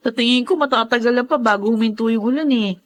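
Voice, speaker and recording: An actress with a Filipino accent playing a worried, practical daughter in a radio drama about a flood. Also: female voice, on the high side, fast, close to an everyday voice. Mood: practical, urgent.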